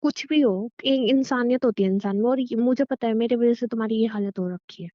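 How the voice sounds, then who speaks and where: A woman's voice: on the high side, a little fast, lightly acted. A woman playing a stubborn, guilt-ridden wife in a relationship audio drama, speaking firmly, insistently and curtly. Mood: guilty, stubborn. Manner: firm, insistent, curt. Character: stubborn, guilt-ridden wife refusing to abandon her husband. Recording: dry, dull lo-fi sound.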